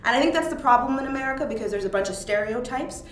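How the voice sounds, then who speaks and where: A woman's voice: a little high, expressive, rapid-fire, a bit bright, recorded from a distance. A young woman answering interview questions in a reflective way, with frequent ums and uhs. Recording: roomy, off-mic, faint hiss.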